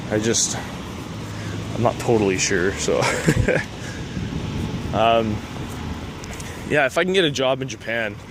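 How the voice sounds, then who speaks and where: A man's voice: fairly low pitch, lively intonation, slow, pauses often. A young man from Canada talking casually while walking outdoors, rambling and laughing often. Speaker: vlogger. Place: city street outdoors. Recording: outdoor street noise. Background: street traffic.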